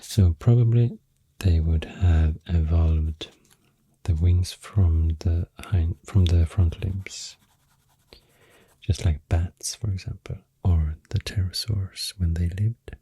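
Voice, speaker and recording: A woman with a Swedish accent speaks softly in a very low, husky, whispery voice for ASMR. She rambles extremely slowly with halting pauses, hushed and close to the microphone. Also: no room sound. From Sweden. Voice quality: deep, breathy, often whispery. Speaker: ASMR host. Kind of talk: soft-spoken ASMR rambling. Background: soft pencil and clicking sounds.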